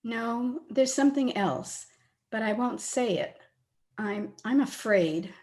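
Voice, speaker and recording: A woman playing an anxious, gentle grown daughter in a radio play, with a hesitant, worried manner. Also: fairly high pitch, expressive, slow pace. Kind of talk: old-time radio play acting.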